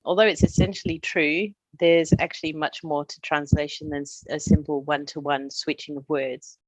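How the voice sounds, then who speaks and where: A middle-aged woman from Australia, a translator, giving a prepared presentation in a measured, explanatory way with touches of humor. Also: deep voice, fairly even intonation, no echo, rough recording.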